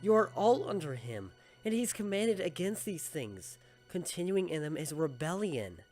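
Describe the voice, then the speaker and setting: A man's voice: high voice, big pitch swings, moderate pace, a little mellow, faint music behind. A man playing an earnest, indignant, righteous boy in an audio drama, pleading for loyalty to a king. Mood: earnest, firm.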